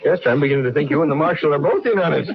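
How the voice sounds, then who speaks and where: An actor playing a stubborn, suspicious frontier hotel builder in a 1950s Western radio drama, blustering and accusatory. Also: male voice, a little high, rapid-fire, gravelly, husky. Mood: indignant, suspicious. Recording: noisy, muffled vintage radio recording.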